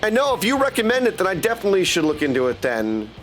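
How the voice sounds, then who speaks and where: Man, high voice, chatty livestream host, casual, catchphrase-heavy, music behind. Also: huge pitch swings, quick pace, fairly bright tone, poor audio. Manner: chatty, hops between chat replies, repeats catchphrases. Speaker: livestream host playing a video game; voice actor and audiobook narrator. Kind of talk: casual livestream chat with viewers.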